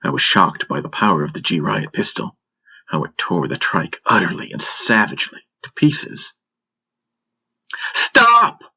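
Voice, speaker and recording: Deep raspy guy narrating a dramatic sci-fi audiobook, takes it slow, music behind. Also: animated, pushed and bright. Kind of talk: dramatic scripted first-person narration. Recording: music bed under the voice.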